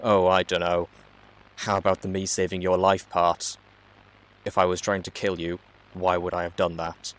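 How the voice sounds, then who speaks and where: A man's voice: low voice, flat delivery, moderate pace, fairly bright tone, rough recording. A man voicing a mysterious hacker who speaks through a robot in a storybook reading, a blunt, helpful guide with a casual, matter-of-fact manner. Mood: advising.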